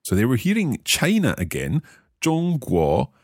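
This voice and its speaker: A man's voice: medium pitch, wildly swooping pitch, unhurried, breathy edge, no echo, clean studio sound. A man from Scotland hosting a podcast language lesson, speaking carefully and repeating phrases aloud. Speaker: language learner and podcast host.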